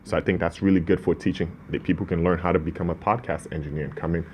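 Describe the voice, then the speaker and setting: Deep-voiced man, earnest and rambling. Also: fairly even intonation, fast talker, darkish tone, slight background noise. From Ghana. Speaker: business owner, guest. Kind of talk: casual interview talk.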